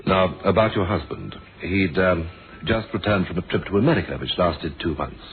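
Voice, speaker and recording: An actor playing a dogged police inspector in a radio mystery, questioning coolly and formally with pointed pauses. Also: male voice, low-pitched, lively intonation. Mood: politely probing. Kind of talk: 1970s radio mystery drama acting. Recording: muffled, noisy old radio recording.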